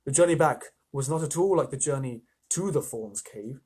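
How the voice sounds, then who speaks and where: A man's voice: medium pitch, animated, moderate pace, a little mellow, breathy edge. A man reading a story aloud as narrator, with casual, informal asides to listeners.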